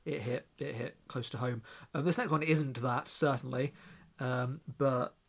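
A man's voice: medium pitch, steady tone, unhurried, vocal fry. A man co-hosting a podcast, talking casually and earnestly with a rambling, joking manner. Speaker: podcast co-host.

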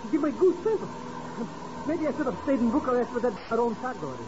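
A man playing an excitable, panicky comic sidekick in a 1950s radio spy drama, exclaiming and stammering, on an old noisy recording. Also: very high-pitched, fast, lo-fi sound. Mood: relieved, jittery. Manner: exclamatory, wailing and stammering when alarmed.

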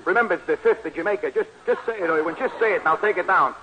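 An actor playing a pushy, streetwise racetrack gambler, blunt and slangy, in a noisy 1940s radio comedy broadcast. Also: male voice, high voice, very fast, dull audio, natural voice. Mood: eager.

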